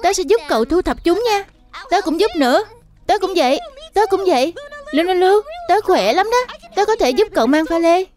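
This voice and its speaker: A woman voicing a friendly, excitable young animal schoolchild in a children's cartoon dub. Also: very high-pitched, moderate pace. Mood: excited, friendly. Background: background music.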